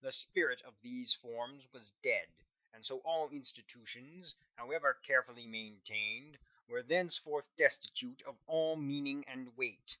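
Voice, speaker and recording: A man reading his own novel aloud as scripted audiobook narration, at a middling pitch. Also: measured pace, few pauses. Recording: dull, lo-fi sound.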